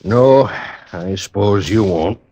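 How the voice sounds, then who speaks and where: An actor playing a lonely, guarded stagecoach station keeper, terse and melancholy, in a 1950s radio western. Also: male voice, lowish voice, slow pace, dark tone. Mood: terse, resigned. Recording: vintage radio drama recording.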